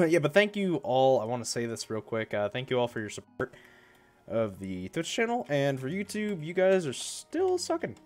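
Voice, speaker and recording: A young man talks casually to his live stream, sounding stuffy and congested from a cold. He rambles, stops and restarts himself as he talks. His voice sits at a middle pitch, with faint game music playing behind him. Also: wildly swooping pitch, normal speed. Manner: rambling, self-correcting, chatty.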